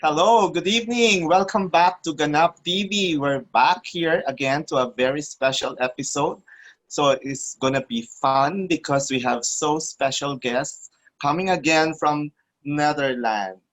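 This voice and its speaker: A man hosting an online talk show, speaking haltingly with many fillers. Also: a little high, fairly bright tone, low-quality recording. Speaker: host.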